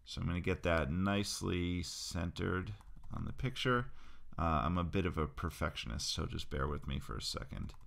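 A man narrating a screen-recorded software tutorial, explaining each step as he clicks through it in a relaxed, casual way. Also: sits low, moderate pace, creaky.

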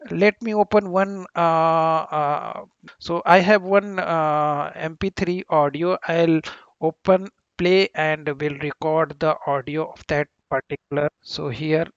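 A man hosting an informal software tutorial voice-over, speaking haltingly with very frequent hesitations and repeated words. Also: on the high side, dry recording, highs cut off. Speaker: tutorial host. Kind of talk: informal screen-recorded software tutorial narration.

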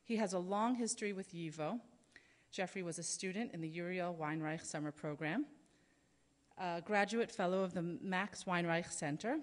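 A woman in her thirties at a podium, giving prepared introductory remarks from notes with frequent fillers. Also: fairly low pitch. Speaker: event speaker introducing a lecturer. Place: large lecture hall, at a podium. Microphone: podium microphone. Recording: reverberant hall.

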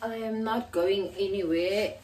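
A woman's voice: mid-range voice, fairly even intonation, measured pace. A young woman with a South African Xhosa accent, rambling casually in a home vlog and switching between languages, recorded on a phone held at arm's length in a small bedroom. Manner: rambling, code-switching, with soft laughs. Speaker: vlogger. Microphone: phone camera at arm's length.

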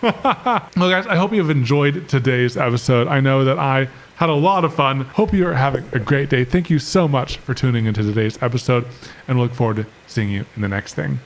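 A man in his thirties doing casual, playful, excitable gameplay commentary as a streamer, often repeating phrases, on a close desk microphone. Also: mid-range voice, moderate pace. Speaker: gaming streamer and co-host. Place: indoor home streaming setup. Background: game music.

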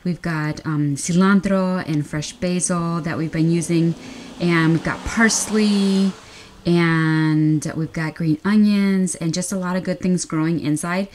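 A woman's voice: fairly low pitch, fairly even intonation, unhurried, darkish tone, slight background noise. An American woman narrating a casual home vlog in a conversational way, often repeating and rephrasing herself. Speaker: vlogger. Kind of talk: casual haul vlog narration over close-ups. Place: indoors at home.

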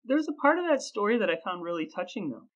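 A man's voice: sits high, very animated, fast, fairly bright tone, lo-fi sound. A man co-hosting a podcast, moving between banter and an upbeat scripted delivery. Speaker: podcast co-host.

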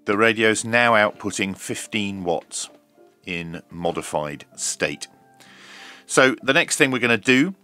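Middle-aged man, very low pitch, forceful bright tone, measured explanatory delivery, hands-on review commentary. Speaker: radio reviewer.

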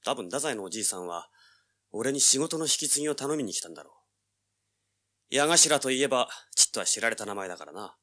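An actor playing a cocky, hard-boiled young treasure hunter, brash and wry, in a dramatized audiobook. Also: male voice, medium pitch, lively intonation, brisk, bright, forceful tone. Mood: cool, knowing. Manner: brash, wry, rough-spoken. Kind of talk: dramatized audiobook acting with first-person narration.

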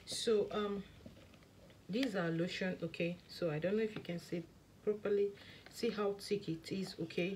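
A woman hosting a skincare tutorial, talking through each step in a soft, lowered late-night voice and often saying "okay, so". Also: rough recording. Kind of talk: casual step-by-step demonstration. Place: indoor work table. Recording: clear background noise with clicks.

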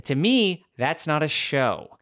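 A man's voice: on the high side, big pitch swings, slow pace, a bit bright, dry recording, studio quality. A man co-hosting a comedy podcast in casual, joking chat, laughing often. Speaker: podcast co-host.

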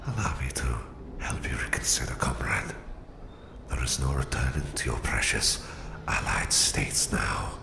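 Menacing russian supervillain for asmr roleplay, super deep gravelly half-whisper, cold and bossy, bit of echo. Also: male voice, heavily acted. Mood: cold threat. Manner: slow, deliberate, menacing, condescending. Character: menacing Soviet psychic supervillain, male, cold and domineering.

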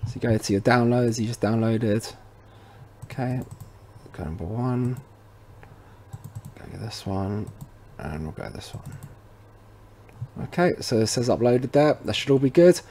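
Mellow-voiced man presenting a casual tutorial. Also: mid-range voice, expressive, a little slow, long pauses, a little hiss or hum. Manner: informal, addresses viewers as "guys". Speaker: tutorial presenter for a tech retailer. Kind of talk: casual how-to tutorial narration.

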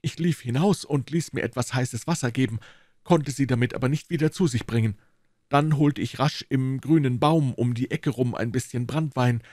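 A man's voice: mid-range voice, normal speed, a little mellow, no room sound, studio quality, voice pushed up, lightly acted. A man voicing a stout, flustered police constable in an audiobook, pleading and eagerly excusing himself. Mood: explaining. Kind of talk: audiobook character voice.

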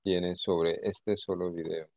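A man teaching an informal online lesson, speaking haltingly and often repeating his words. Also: sits low, level tone, dry recording. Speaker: English teacher. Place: indoor video call. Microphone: video call. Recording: dull, lo-fi call audio.

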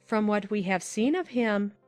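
A woman playing a talkative, opinionated middle-aged aunt in a dramatized audiobook, in a lively manner. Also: medium pitch, unhurried, faint music behind, natural voice. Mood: chatty. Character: chatty warm-hearted middle-aged aunt.

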